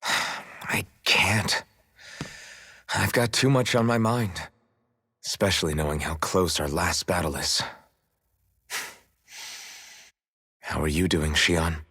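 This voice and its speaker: A man playing a weary, gentle, reflective swordsman hero with a husky voice in a video game cutscene. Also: low voice, slow pace, pauses often, no room sound, clean studio sound, exaggerated voice. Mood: pensive, nostalgic.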